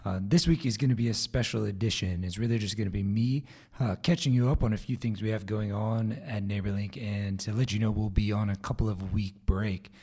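A man with a raspy, hoarse voice hosting a solo podcast episode, talking casually with fillers and restarts. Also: on the low side, steady tone, a little fast, a little mellow. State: hoarse from a vocal cord growth. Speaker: podcast host.

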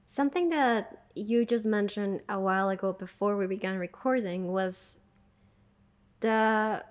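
A woman's voice: mid-range voice, measured pace. A woman hosting a mindfulness podcast who interviews her guest in a friendly, conversational way. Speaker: podcast host.

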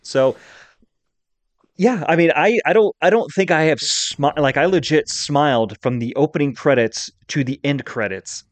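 A man's voice: a little high, moderate pace, no echo. A man hosting a podcast in casual chat, giving emphatic, opinionated takes with plenty of enthusiasm. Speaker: podcast host.

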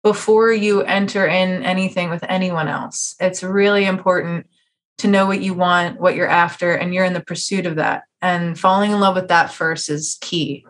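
A woman's voice: on the low side, fairly even intonation, normal speed. A woman hosting a conversational podcast interview, talking at length in an earnest, encouraging way. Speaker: podcast host.

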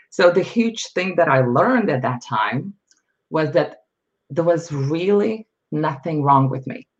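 A middle-aged woman from Lithuania, a podcast guest speaking candidly and reflectively about her life, with frequent fillers. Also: sits low. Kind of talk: personal storytelling. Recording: slightly dull lo-fi audio.

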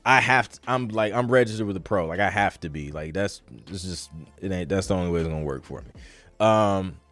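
Low-voiced man chatting casually, close dry sound. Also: a little fast, fairly bright tone, dry recording, faint music behind. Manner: informal, slangy, conversational. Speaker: podcast host. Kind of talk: casual live Q&A chat.

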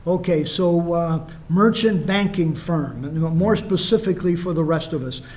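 A man hosting a radio interview at a banquet, asking questions in a halting way with many restarts. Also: on the high side, darkish tone, slight background noise. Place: banquet hall.

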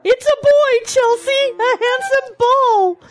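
A woman's voice: very high voice, fairly even intonation, slow, dry recording. A woman voicing a cheerful, kindly female farm chicken in a children's audio drama, with a lilting delivery. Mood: excited.

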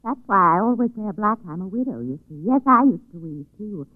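A man's voice: high-pitched, lively intonation, a little fast, no echo, narrow, tinny audio. A man voicing a little old widowed shopkeeper woman in a 1940s radio drama, fawning and repetitive. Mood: coaxing, eerie. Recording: thin, band-limited old radio recording.

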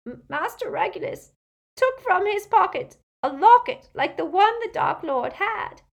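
A woman voicing a frightened, servile old male house-elf in an audiobook, tearful and halting as he speaks of himself in the third person. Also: very high voice, sing-song, creaky, fairly bright tone, voice pushed up, full character voice. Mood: sobbing, anguished. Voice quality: tearful, moaning, breaking voice.

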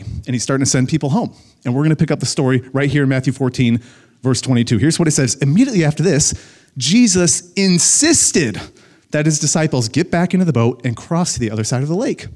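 A man's voice: on the high side, animated, quick pace, darkish tone. An American man, a guest pastor, preaching conversationally to students in a casual, joking way with youthful slang. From United States, Illinois.

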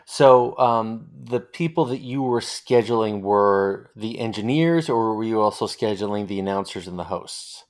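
A middle-aged man acts as interviewer in a conversational oral-history exchange. His pitch is a bit on the low side. He feels his way toward each question, circling around before he asks it. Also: a little slow, hardly any pauses. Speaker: interviewer, host.

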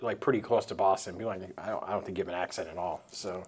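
A man from New Hampshire co-hosting a podcast, talking in a relaxed, joking way and often trailing off. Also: deep voice, racing, husky. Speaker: podcast co-host. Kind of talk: casual chat.

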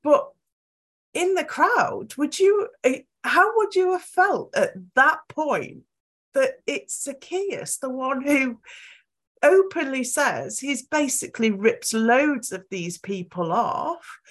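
A woman's voice: high-pitched, expressive, slow, a bit bright. A woman reflecting aloud on a Bible story in a casual discussion.

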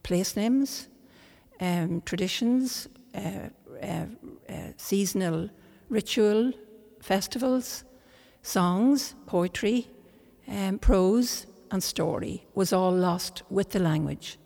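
An Irish woman, a traditional singer whose first language is Irish, speaking calmly as an interview guest in a monastery room. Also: mid-range voice, slow pace, creaky, in a large hall. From Ireland.

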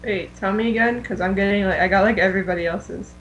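A woman's voice: medium pitch, fast talker, dull audio, slight background noise. A woman co-hosting a fan podcast, chatting in a casual, informal way. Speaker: podcast co-host.

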